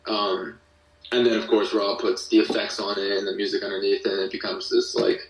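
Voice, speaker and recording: A man with a fairly low voice talks casually as a podcast guest. His delivery stays even and thoughtful as he explains. He sounds set back from the mic in an echoey room. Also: a little fast, far from the mic. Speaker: podcast guest, musician and audio drama creator. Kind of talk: casual interview chat.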